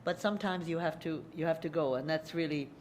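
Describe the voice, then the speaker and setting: A woman's voice: low-pitched, fairly quick, slight background noise. Older Hungarian-born woman giving a lecture. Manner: warm, conversational, addresses children in audience. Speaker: guest lecturer. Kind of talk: public lecture. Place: lecture hall podium.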